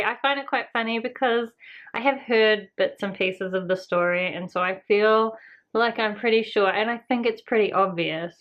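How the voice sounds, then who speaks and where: A woman chatting casually to camera as a book vlogger, rambling along with self-deprecating, trailing asides. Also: on the high side. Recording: slightly dull lo-fi home recording.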